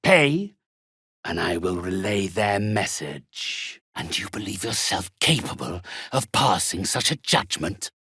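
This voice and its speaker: An actor playing a sinister, pompous undead necromancer in a video game, speaking formally with cold politeness in a gravelly, husky voice. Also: male voice, fairly low pitch, fairly bright tone, no echo, clean studio sound, heavily acted. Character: sinister, haughty undead male necromancer trading in spirits. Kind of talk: scripted video game character acting.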